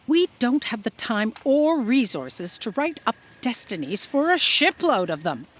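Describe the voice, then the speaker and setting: A woman playing an imperious, impatient boss in a comic sci-fi audio drama, bossy, curt and dismissive. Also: medium pitch. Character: imperious, impatient female editorial boss of destiny bureau.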